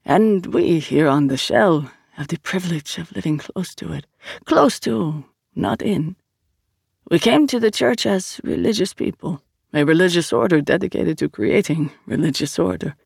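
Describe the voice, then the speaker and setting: An audiobook narrator voicing a serene male acolyte who speaks haltingly and philosophically, searching for words. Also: medium pitch, gravelly, slightly rough, no room sound, clean studio sound. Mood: reverent. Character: serene, hesitant otherworldly religious acolyte, a male. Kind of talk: audiobook character dialogue.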